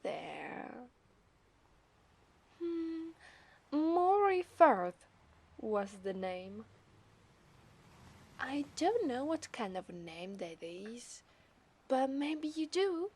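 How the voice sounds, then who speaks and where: A woman with an Italian accent voicing a coy, possessive mermaid in a soft, breathy ASMR roleplay. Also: high-pitched, big pitch swings, very slow, long pauses, full character voice. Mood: tender, dreamy. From Italy. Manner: coy, teasing, breathy murmurs. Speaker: ASMR voice actress.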